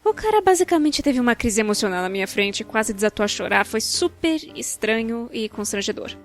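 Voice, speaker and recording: A Brazilian woman with a fairly high voice narrates a personal story from a script. Her delivery is expressive and wry, with colloquial phrasing. Music plays behind her voice. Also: fairly quick. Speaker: narrator of a fan-dubbed animated storytime video. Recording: background music.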